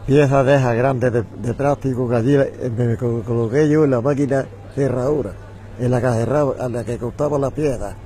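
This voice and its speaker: A man's voice: medium pitch, fairly even intonation, a little fast, warm tone. An older man, a returned emigrant, recounting his working years in a plain, matter-of-fact way outdoors. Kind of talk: casual street chat.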